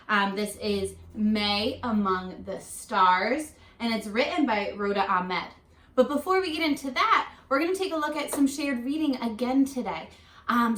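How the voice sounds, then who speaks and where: A young woman teacher at home on her kitchen floor, reading aloud slowly and sounding out words for young children. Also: mid-range voice, a bit bright. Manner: slow, encouraging, sounding out words.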